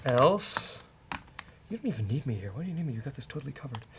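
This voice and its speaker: A man talks through code in a casual, bantering way, thinking aloud as he works. His voice sits at a middling pitch, with typing clicks and light noise behind it. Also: very animated, quick pace. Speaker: host of a coding show. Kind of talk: casual live-coding talk with a co-host.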